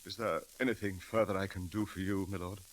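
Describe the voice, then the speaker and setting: An actor playing a proper, deferential English valet in a radio comedy, with a British accent and a hesitant, formal way of speaking. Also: male voice, low voice, steady tone, brisk, lightly acted. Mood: subdued concern. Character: proper, deferential English valet, stiffly polite and quietly dismayed. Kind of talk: 1940s radio comedy acting. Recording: crackly old radio broadcast recording.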